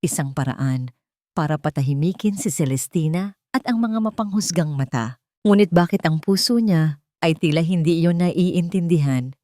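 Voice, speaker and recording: A woman narrating a scripted romance story in a deliberate, emotive, dramatic way. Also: sits low, dark tone, dry recording, clean studio sound.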